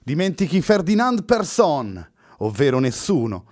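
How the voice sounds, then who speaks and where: A man puts on a passionate young woman in a storybook reading, his voice lifted well above his own and loud with feeling. She pleads fervently and defiantly. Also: on the high side, big pitch swings, measured pace, no room sound, clean studio sound, voice pushed up. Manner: fervent, raised emotional pleading.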